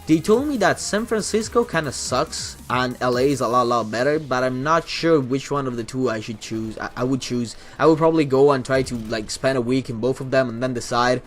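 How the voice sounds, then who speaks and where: A man's voice: medium pitch, fairly quick, hardly any pauses, soft background music. Young Italian man, swearing, rambling. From Italy. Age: young adult. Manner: rambling, profane, frequent restarts. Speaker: YouTuber and streamer. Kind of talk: casual Q&A vlog.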